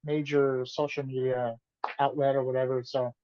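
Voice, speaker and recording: A man, the band's singer and guitarist, answering interview questions in a relaxed, rambling way and often repeating himself. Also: mid-range voice, moderate pace, muffled recording. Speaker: band vocalist and guitarist being interviewed.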